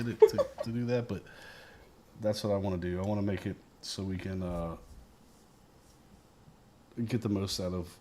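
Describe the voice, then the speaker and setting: A man hosting a game stream in a laid-back, joking way, with a deep voice. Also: a little mellow, a little hiss or hum. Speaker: streamer host. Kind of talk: casual stream commentary.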